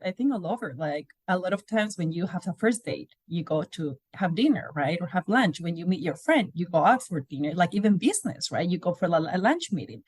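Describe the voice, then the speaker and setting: Spanish-accented woman guest, lower voice, animated anecdotes, dry close mic. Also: dry recording. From Spain. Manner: animated storytelling with frequent "like" and laughs. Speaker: guest, olive oil business founder. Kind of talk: casual podcast conversation.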